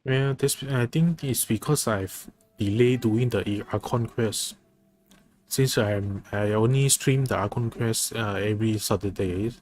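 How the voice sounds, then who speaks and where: A man giving casual, somewhat halting commentary while livestreaming a video game. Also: fairly low pitch, a little mellow. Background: faint game music.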